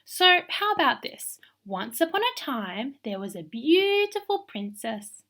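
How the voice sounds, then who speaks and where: A woman voicing a bossy, excitable little girl who tells her own picture book in an emphatic, theatrical way. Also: sits high, a little slow, heavily acted. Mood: excited, pleased. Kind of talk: expressive children's picture book read-aloud.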